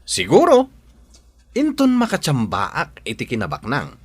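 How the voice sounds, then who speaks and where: A man's voice: mid-range voice, huge pitch swings, measured pace, breathy edge, no room sound, exaggerated voice. A man playing a rowdy, teasing, blustering village man in an Ilocano radio comedy drama. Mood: boastful, teasing. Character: rowdy, teasing village man who smokes, quick to bristle.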